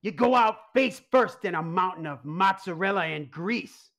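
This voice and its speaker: A man's voice: high voice, animated, normal speed, a bit bright. A man playing an anxious, exasperated mob boss and father in a comedic radio play. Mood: dramatic. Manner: exasperated, blustering. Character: harried, anxious mob boss father who secretly runs pizzeria.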